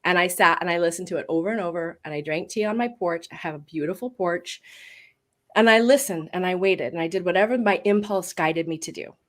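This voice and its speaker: A midlife woman with a big voice, telling her story as a voice coach in a casual interview, lively and laughing often. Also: lowish voice, fairly quick. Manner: expressive storyteller with bursts of laughter. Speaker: voice coach and guest.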